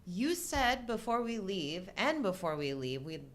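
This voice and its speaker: A woman's voice: mid-range voice, big pitch swings, unhurried. A middle-aged woman co-hosting a live show, chatting casually about films. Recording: faint background noise.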